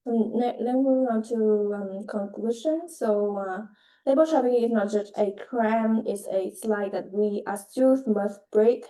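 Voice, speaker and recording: A woman's voice: medium pitch, warm tone. Young woman, roomy distant mic. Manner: halting, hesitant phrasing in non-native English. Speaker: student presenter. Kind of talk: project presentation. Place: video call.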